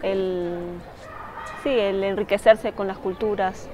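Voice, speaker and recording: A woman's voice: medium pitch, steady tone, takes it slowly, a little mellow. A woman from Argentina with a mid-range voice answers informally as a documentary interviewee. She hesitates as she searches for words, with slight ambient noise around her. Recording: faint background ambient noise.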